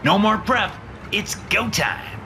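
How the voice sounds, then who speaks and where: A man voicing the young characters of a horror story in a raised, lively voice. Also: slow pace, gravelly, exaggerated voice. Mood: tense. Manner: raised pitch for dialogue. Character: young adult characters, men and a woman. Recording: music underneath.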